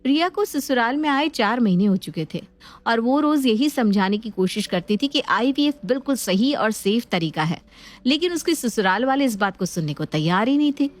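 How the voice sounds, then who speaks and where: Storyteller, female, steady scripted read, slightly high. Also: moderate pace. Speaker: narrator.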